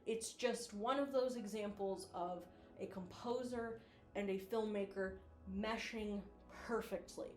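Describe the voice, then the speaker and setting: A woman's voice: mid-range voice, a little slow, darkish tone, reverberant. A woman giving casual, slangy and effusive video commentary, like an online host chatting to viewers.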